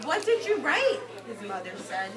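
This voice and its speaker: A woman's voice: sits high, huge pitch swings, moderate pace, far from the mic, crowd noise. A woman teaching young children, reading a picture book aloud and explaining words in simple, instructive phrases with repeated prompts to the class. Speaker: preschool or kindergarten teacher. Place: indoor classroom with carpet. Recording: echoey classroom from afar, children around.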